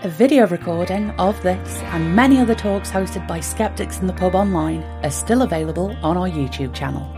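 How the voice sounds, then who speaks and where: A woman reading a scripted podcast introduction in a measured, formal way. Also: on the low side. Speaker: podcast announcer. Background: guitar music.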